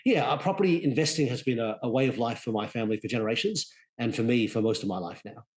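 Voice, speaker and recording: A man in his forties who grew up in Australia, speaking as an interview guest over a remote call, quick and full of restarts. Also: mid-range voice, a little mellow. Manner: quick, restarts often, chuckles. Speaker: guest, real estate executive. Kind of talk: conversational interview. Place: home in Sydney.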